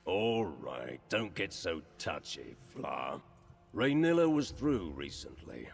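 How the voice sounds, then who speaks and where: A man's voice: deep voice, big pitch swings, takes it slowly, gravelly, breathy edge, heavily acted. A man playing a chatty, slyly menacing crime lord in a fantasy video game, with an insinuating, falsely courteous manner. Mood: sly, bargaining. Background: background music.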